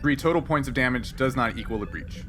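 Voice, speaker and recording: A man's voice: medium pitch, fast talker, noisy background. A man acting as game master, casually narrating and working out rules aloud in a hesitant way.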